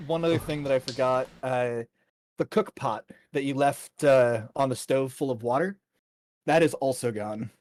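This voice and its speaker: A man narrating a tabletop game as game master in a casual, measured, hesitant way. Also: mid-range voice, darkish tone, slight background noise.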